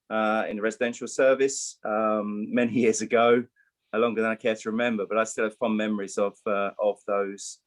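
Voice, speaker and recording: A man, a charity chief executive, introducing himself on a panel in a relaxed, conversational way. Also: on the low side.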